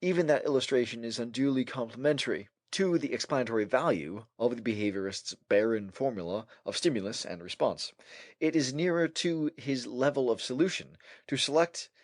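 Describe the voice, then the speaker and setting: A man narrating a scholarly essay as an audiobook, in a formal and measured manner. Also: medium pitch, animated, no room sound, clean studio sound.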